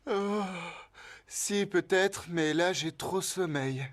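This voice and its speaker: A woman's voice: lowish voice, slow pace, slight background noise. A woman playing an easygoing teenage ranch boy in a French cartoon dub, casual and laid-back. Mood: sleepy, weary.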